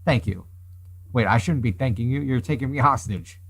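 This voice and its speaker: A man's voice: mid-range voice, moderate pace, vocal fry, a bit bright, husky. A man voicing a wary, nerdy hostage inventor who argues dryly in a visual novel. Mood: incredulous. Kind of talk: reading visual novel dialogue and inner thoughts.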